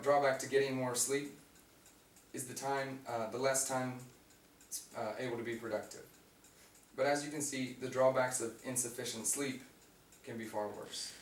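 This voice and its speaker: Raspy young man, student speech, picked up from far off in an echoey room with faint hum. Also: medium pitch. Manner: reads from prepared notes with fillers and restarts. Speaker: student giving a class speech. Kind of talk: informative class speech. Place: classroom.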